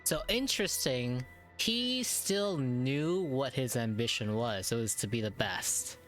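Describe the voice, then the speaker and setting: A woman's voice: a bass voice, lively intonation, takes it slowly, soft background music. A woman gameplay streamer giving casual reactions while playing a video game.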